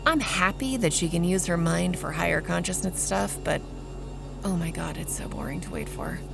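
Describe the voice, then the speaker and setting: A woman's voice: fairly low pitch, very animated, normal speed, gravelly, exaggerated voice. An actress playing a pushy, foul-mouthed young female vampire in an audio drama, sardonic and commanding as she acts and narrates. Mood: sardonic narration. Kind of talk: audio drama acting with first-person narration. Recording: music behind the voice.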